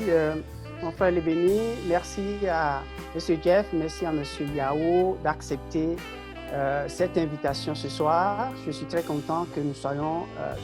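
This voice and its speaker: A man, speaking formally and with measured delivery as he presents in a webinar. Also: fairly high pitch. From Togo. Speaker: panelist, teacher and director of a media education organization.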